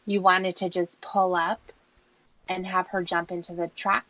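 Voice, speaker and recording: A woman presses someone with insistent, accusatory questions. Her voice sits fairly low for a woman and has a sharp, forceful edge. The sound is dry and close. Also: flat delivery, unhurried, no echo, poor audio. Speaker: interrogator. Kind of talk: confrontational questioning.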